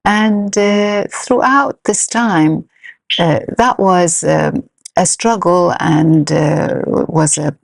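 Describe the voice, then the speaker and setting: A middle-aged woman from Iraq whose native language is Arabic, telling her own story as an interview guest in a halting, measured way. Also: lowish voice, vocal fry, dry recording. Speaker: podcast guest, senior lecturer.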